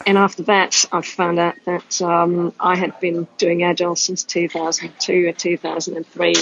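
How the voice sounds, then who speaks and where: Woman, middle-aged, mixed hard-to-place accent, gravelly, flat delivery, dull lo-fi audio, faint ambience. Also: on the low side, moderate pace, background noise. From Austria. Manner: steady, many ums and uhs. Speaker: guest, agile coach. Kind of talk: casual interview answers.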